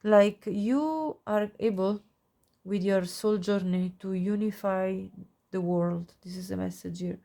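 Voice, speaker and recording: A woman with a cold and a nasal voice giving a pick-a-card tarot reading, gushing softly over the cards. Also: medium pitch, takes it slowly, creaky. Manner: soft, gushing, warm-hearted with frequent little laughs. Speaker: tarot reader.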